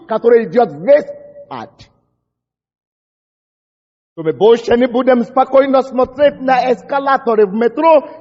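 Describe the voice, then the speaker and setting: A male pastor whose first language is English, preaching a fiery sermon with impassioned, shouted prayers and frequent stumbles over words. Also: very high-pitched. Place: church hall. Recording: dull recording in a large echoing hall.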